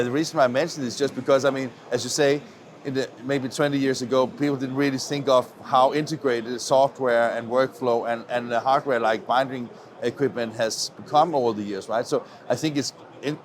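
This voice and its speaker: A man's voice: mid-range voice, steady tone, brisk. A man interviewing on camera at a trade show, with hesitant, conversational phrasing. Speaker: interviewer for a web TV channel. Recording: faint background noise.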